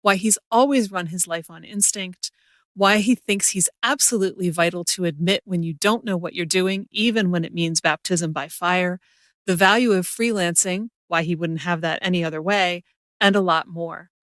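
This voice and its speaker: A woman's voice: on the low side, moderate pace, a bit bright, no room sound. A woman hosting a creativity podcast, speaking in a scripted, presenter style. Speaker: podcast host and creativity coach. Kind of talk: scripted podcast introduction and interview.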